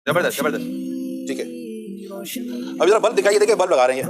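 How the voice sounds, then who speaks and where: A man's voice: high voice, animated, quick pace, over music. A man presenting a show with live running commentary, effusive and often repeating himself.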